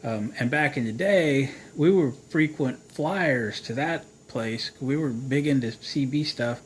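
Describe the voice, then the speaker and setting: A man's voice: mid-range voice, measured pace. An American man hosting a repair how-to video, talking in a casual, folksy way and thinking aloud as he demonstrates. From United States. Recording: clear background noise.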